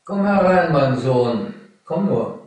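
Man playing a slow, solemn old father. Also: fairly high pitch, animated, a little mellow, recorded from a distance. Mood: solemn, inviting. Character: grave, solemn old father teaching his son to fly. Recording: roomy sound with music behind.

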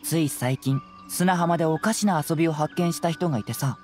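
A man voicing a calm, perceptive young gentleman investigator in a game, soft-spoken and politely probing. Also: a little high, normal speed, faint music behind, lightly acted. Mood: calm, explanatory. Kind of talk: Japanese game cutscene voice acting.

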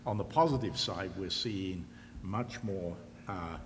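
An older man answering as a panelist in a formal, measured way with elaborate wording, in a large auditorium. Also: sits low. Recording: reverberant hall, faint noise.

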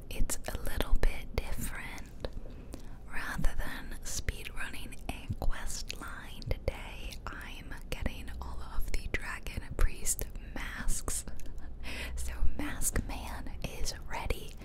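A woman whispering chatty, playful ASMR commentary over a video game, close to the microphone. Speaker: host of an ASMR gaming channel. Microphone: close ear-to-ear ASMR microphone.

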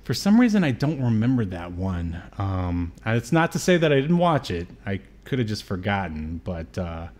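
A man's voice: lowish voice, sing-song, moderate pace, hardly any pauses, a little mellow. A middle-aged man chatting casually as a podcast host, talking from a room at home over a video call. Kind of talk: casual chat on a film review stream.

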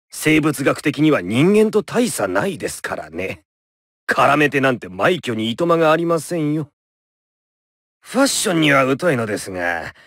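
A man's voice: no room sound, clean studio sound, heavily acted. An actor playing an eccentric, courteous doctor in a video game, philosophical and theatrical in his delivery. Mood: playful, lecturing. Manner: courteous, measured, theatrical, swinging from grave murmurs to high exclamations. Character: eccentric male doctor with a paper-bag head, polite and philosophical. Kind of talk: video game victory lines, theatrical Japanese voice acting.

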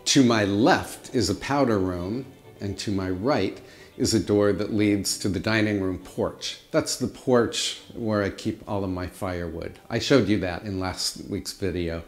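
A man's voice: on the low side, unhurried, faint music behind. A middle-aged man chatting casually to the camera as he gives a tour of his large old house. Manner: chatty, friendly tour-guide delivery. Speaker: home vlogger giving a house tour. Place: large old house with high-ceilinged rooms, indoors.

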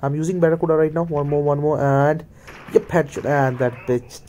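Indian-accented man with a mellow, higher voice. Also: fairly even intonation, a little fast, slight background noise. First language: Hindi and Punjabi. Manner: mutters play-by-play, slips into Hindi. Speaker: gaming video creator. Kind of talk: casual gameplay commentary.

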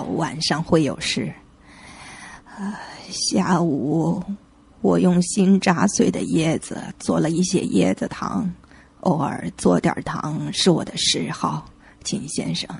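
A woman's voice: low voice, gravelly, breathy edge, full character voice. An actress playing a frail, courteous, composed woman of seventy-five in a radio drama. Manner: slow, composed, genteel. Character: frail, courteous 75-year-old bereaved sister.

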